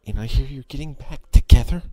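A man playing a quiet, obsessive, possessive schoolboy in an ASMR roleplay, halting and breathy. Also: mid-range voice, lightly acted, rough recording. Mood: bitter, upset. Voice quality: husky, breathy. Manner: halting, stammering, brooding. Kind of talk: ASMR roleplay, intimate acted monologue.

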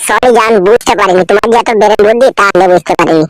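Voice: mid-range voice, racing, very noisy, close to an everyday voice. An actor playing a smitten young man, a secret lover in a comedy cartoon, pleading and cajoling.

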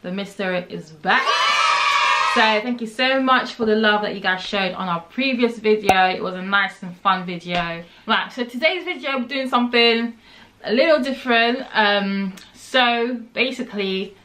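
A woman's voice: medium pitch, moderate pace, noisy background. A young woman from Congo hosting a casual vlog, playful and laughing often, talking to a camera in a bedroom. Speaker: YouTube host. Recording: loud music playing behind.

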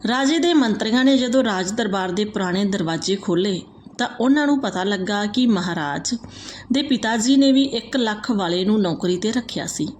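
A woman reading out a moral folk tale as a storyteller, in a steady, even manner. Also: mid-range voice, moderate pace, slight background noise.

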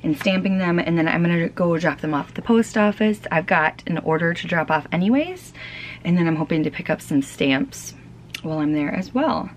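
Low-voiced young woman, chatty vlogger. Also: animated, moderate pace, slight background noise. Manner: chatty, frequent ums and restarts. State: tired, fighting off a cold. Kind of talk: casual chat. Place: home, small room. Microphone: camera close up.

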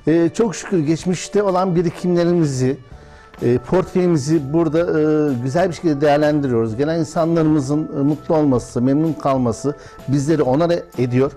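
Mellow-voiced Turkish hotel owner in his fifties. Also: fairly high pitch, faint music behind. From Bursa, Turkey. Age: 50s. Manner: measured, with frequent hesitation fillers. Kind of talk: interview answers. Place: historic restaurant dining room, indoors.

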